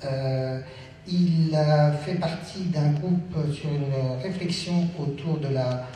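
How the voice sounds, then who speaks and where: A man moderating a stage debate, introducing the guests in a halting way with many fillers. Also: a little high, dark tone, recorded from a distance, a little hiss or hum. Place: theatre stage. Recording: echoey hall, picked up from afar.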